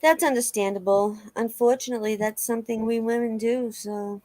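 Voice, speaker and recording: A woman's voice: fairly high pitch, fairly even intonation, brisk, dark tone, dry recording, natural voice. A woman reading the part of a sympathetic, agreeable investigator in a conversational dramatic reading of a police interview.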